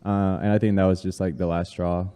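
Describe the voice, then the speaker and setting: A man in his thirties from Colombia talks through his story at an easy pace, with plenty of "uh" and "like" along the way. His voice is low, very dark and smooth. It has a long hall reverb around it. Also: fairly quick. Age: 30s. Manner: relaxed, with many fillers. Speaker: guest. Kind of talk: casual interview conversation.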